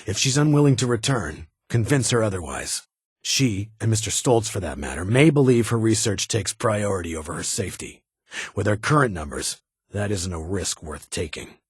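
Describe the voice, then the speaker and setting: A guarded, duty-bound security chief in a video game. His voice is very husky and rough-edged, sitting on the low side. He speaks formally in measured, clipped phrases, recorded close and dry. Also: lively intonation, no echo. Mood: businesslike concern. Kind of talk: scripted game character dialogue.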